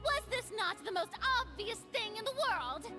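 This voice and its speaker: A woman's voice: very high voice, very animated, normal speed. An actress playing a defiant, flustered young woman who claims to be a god, in a theatrical anime game style. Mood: desperate defiance. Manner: theatrical, loudly protesting. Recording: dramatic game music behind.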